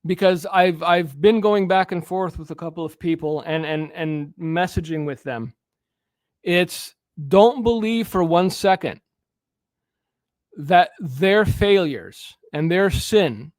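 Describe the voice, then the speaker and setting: High-voiced man preaching on air. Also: expressive, slow, no room sound. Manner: earnest, preachy, with stammered restarts. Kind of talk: preaching commentary.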